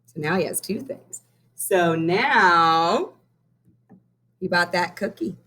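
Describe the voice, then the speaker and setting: A woman teacher reading a picture book aloud to children, stopping to count coins with them. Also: lowish voice. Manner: slow and expressive, pausing to count coins. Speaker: primary math teacher. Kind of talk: children's read-aloud lesson.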